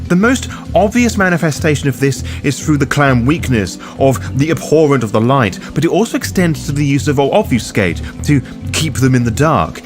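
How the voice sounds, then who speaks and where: Animated man narrating over music. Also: mid-range voice. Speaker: host of a roleplay guide. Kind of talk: scripted video guide narration.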